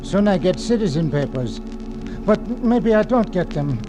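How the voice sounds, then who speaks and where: An earnest immigrant janitor. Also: male voice, high voice, expressive, normal speed, over music, low-quality recording. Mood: pleading. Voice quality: broken English, humble and eager. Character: earnest middle-aged immigrant male janitor. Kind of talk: 1940s radio acting.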